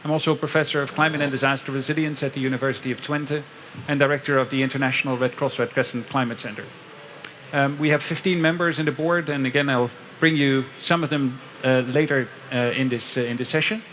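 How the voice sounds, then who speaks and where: A man moderating a panel event at a conference pavilion, speaking into a headset mic in a measured way with frequent fillers. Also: mid-range voice, steady tone, a bit bright, a little hiss or hum.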